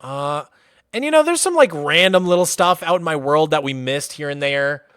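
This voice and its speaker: A fairly high-voiced man from Massachusetts talks his way through a game-world tour on a livestream, loose and chatty, with "like" dropped all through his sentences. The sound is dry and close, right up on a desk mic. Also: normal speed, clean tone, no echo. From Massachusetts, USA. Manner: relaxed and chatty, many "like" fillers. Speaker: streamer. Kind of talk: casual livestream commentary, touring a game world.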